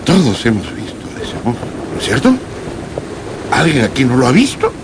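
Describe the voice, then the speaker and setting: Radio drama: excitable male polar sailor, stuttering, very animated delivery over roaring sea effects. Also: medium pitch, normal speed. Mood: excited, insistent. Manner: stammering, agitated, repeating words. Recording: loud wind and sea effects. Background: wind and waves sound effects.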